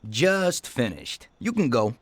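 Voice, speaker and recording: Folksy mechanic with a swooping voice. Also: male voice, mid-range voice, very animated, slow, slightly rough, exaggerated voice. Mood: matter-of-fact. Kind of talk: video game voice acting.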